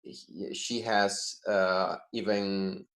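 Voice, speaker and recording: Halting, lowish-voiced man in discussion. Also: little pitch movement, fairly bright tone. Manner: halting, searching for words. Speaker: book club participant. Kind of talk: casual discussion. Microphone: video call.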